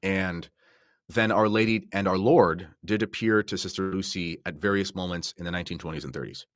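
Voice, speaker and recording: Male podcast speaker, low pitch, dry close sound, conversational but emphatic explainer. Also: fairly bright tone, no room sound. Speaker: podcast guest discussing religion. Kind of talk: casual explanatory talk with slides and notes.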